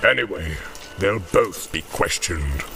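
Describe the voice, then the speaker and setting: A man voicing a brutal, commanding orc captain in a deep, menacing growl for a fantasy audiobook. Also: animated, slow pace, gravelly, bright, forceful tone, soft background music, full character voice. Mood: gruff. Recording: noisy home-made audiobook with ambience.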